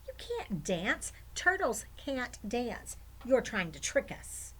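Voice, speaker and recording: A woman voicing excitable, demanding young children in a folktale, insistent and calling out. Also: fairly high pitch, takes it slowly, husky, pitched above the performer's own voice, heavily acted. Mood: scoffing.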